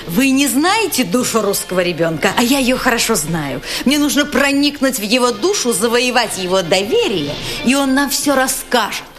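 An actress voicing a cunning German woman interrogator who coaxes an orphan boy with wheedling sweetness and then presses him with sharp questions. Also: a little high, expressive, normal speed, hardly any pauses, faint music behind. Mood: fawning. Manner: wheedling, sugary coaxing that turns to sharp questioning. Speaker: actress in an audio drama. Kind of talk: dramatic radio play acting.

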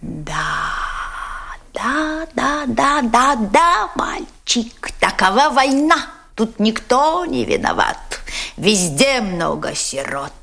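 A wheedling German interrogator, cunning and fawning. Her speech swoops high and low in a lively sing-song. She drawls slowly and sweetly, then grows sharp. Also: creaky, heavily acted. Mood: coaxing. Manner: wheedling, sugary coaxing that turns to sharp questioning. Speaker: actress in an audio drama. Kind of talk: dramatic radio play acting.

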